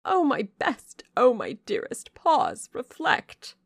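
A woman's voice: mid-range voice, very animated, slow, no room sound, clean studio sound, voice pushed up, heavily acted. A woman voicing a fussy, doting older noblewoman who pleads anxiously with her daughter. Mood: pleading.

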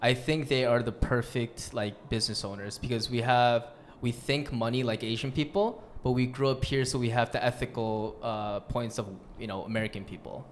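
A man's voice: medium pitch, steady tone, moderate pace. Laid-back joking young man, faint hiss. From New York. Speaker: podcast host. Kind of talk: casual chat.

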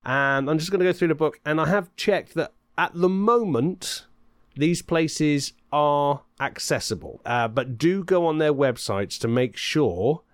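A man's voice: on the high side, animated, measured pace, clear, resonant tone, dry recording, studio quality. A man hosting a podcast, talking in a chatty, rambling way with some fillers. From Britain. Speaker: podcast host and author. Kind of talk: casual podcast chat.

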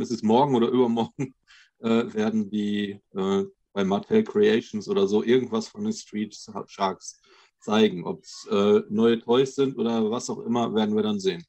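A man's voice: on the low side, creaky, darkish tone. A man co-hosting a podcast, talking casually and conversationally as a fellow collector. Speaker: podcast co-host. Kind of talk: casual chat among collectors. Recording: dull, lo-fi voice connection.

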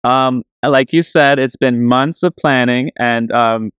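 A man's voice: medium pitch, slow pace, fairly bright tone, clean tone, no room sound, studio quality. A young man hosting a podcast in an upbeat, casual interview style with a chummy, slangy way of talking. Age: young adult. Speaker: podcast host.

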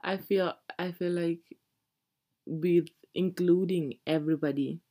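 Give me a low-voiced woman from Zimbabwe, reflective and measured. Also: fairly even intonation, mellow. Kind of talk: casual conversation.

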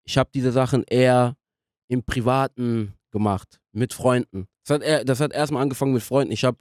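A young man speaking casually as a podcast guest, in a halting way as he searches for words. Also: mid-range voice, steady tone, a little mellow, dry recording, studio quality. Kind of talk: casual interview conversation.

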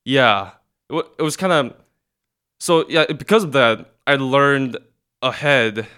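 A man's voice: medium pitch, measured pace, bright, forceful tone, smooth. A young man from South Korea, a guest chatting casually, hesitant with many restarts. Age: young adult. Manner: hesitant, many restarts and fillers, laughs often. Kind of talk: casual chat.

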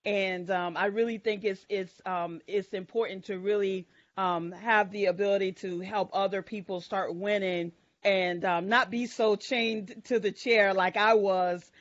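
A woman's voice: mid-range voice, fairly even intonation, measured pace, hardly any pauses, no room sound. A woman panelist speaking earnestly on a video call, with lots of "you know" fillers. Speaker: panelist entrepreneur. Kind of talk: casual advice on a panel. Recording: dull, dry video call audio.

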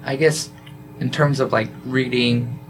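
A man's voice: mid-range voice, a little slow, music in the background, rough recording. A young man being interviewed about his study abroad semester, talking casually with many filler words. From South Korea. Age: young adult. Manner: relaxed, lots of "like" fillers. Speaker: study abroad student guest.

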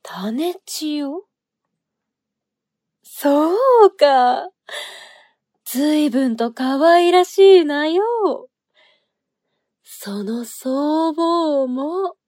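A woman's voice: sits high, animated, extremely slow, pauses often, darkish tone, no echo, clean studio sound, full character voice. An actress playing a sinister, seductive woman agent in a period audio drama, taunting in courtly archaic phrasing. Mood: seductive, amused. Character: sinister seductive noblewoman agent, archaic speech, mocking, menacing.

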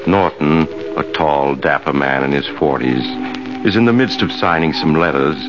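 A mysterious host of an old radio suspense series, with a very low voice. He speaks in measured, theatrical, ominous tones. The sound is a dull vintage broadcast, with loud music under the voice. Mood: ominous, inviting. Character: mysterious male storyteller inviting listeners into eerie tales. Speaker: host and narrator. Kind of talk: 1940s radio suspense narration.

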